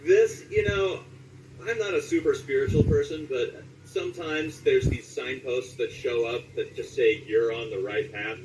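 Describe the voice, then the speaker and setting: A man from Southern California talking casually as an interviewed voice actor, telling anecdotes in an animated way and acting out reactions. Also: mid-range voice, a little slow, recorded from a distance, noisy background.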